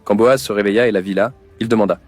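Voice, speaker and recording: A man's voice: on the low side, quick pace, a little mellow. A man narrating a scripted Bible story.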